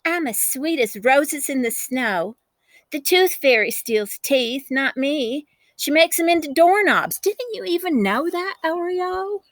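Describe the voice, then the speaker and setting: A woman's voice: high-pitched, lively intonation, takes it slowly, no room sound, voice pushed up. A woman voicing a smug, taunting little country sister from a children's storybook, snippy, teasing and sing-song.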